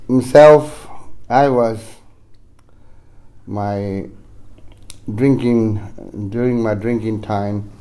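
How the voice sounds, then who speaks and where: A man with a Fijian accent gives an unscripted personal testimony to a group, halting and often correcting himself as he goes. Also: on the low side, steady tone, mellow, clear, resonant tone. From Fiji. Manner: halting, with repeated self-corrections. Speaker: speaker sharing his story at a recovery meeting.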